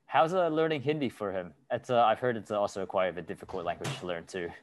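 A man hosting an interview podcast in casual chat, halting with many fillers and restarts. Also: lowish voice, no echo, studio quality. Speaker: podcast host.

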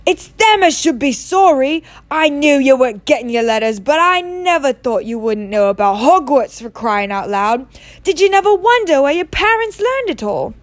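A woman voicing a huge, gruff, fierce-tempered man with blunt rustic speech in a storybook reading. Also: sits high, big pitch swings, unhurried, no room sound. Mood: thundering, outraged.

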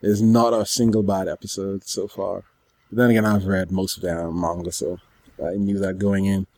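A man co-hosting a podcast, chatting casually in a rambling, halting way. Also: sits low, little pitch movement, a little mellow, dry recording. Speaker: podcast co-host. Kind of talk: casual chat about anime and games.

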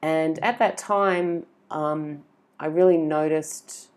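A woman's voice: low-pitched, steady tone, takes it slowly, darkish tone. An Australian woman in her forties, chatting as an interview guest, rambling with lots of fillers and restarts. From Sydney, Australia. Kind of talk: casual interview.

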